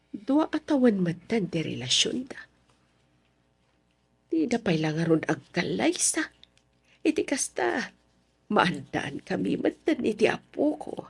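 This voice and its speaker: A woman playing a fond, chatty middle-aged mother in a Filipino radio drama, expressive and drawn out. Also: lowish voice, creaky, husky, full character voice.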